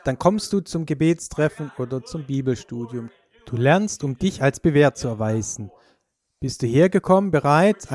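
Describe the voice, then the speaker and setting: A man's voice: fairly high pitch, a little mellow. A man delivering a sermon in the voice of a preacher, addressing listeners directly. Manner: direct address with rhetorical questions. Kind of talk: sermon with personal testimony.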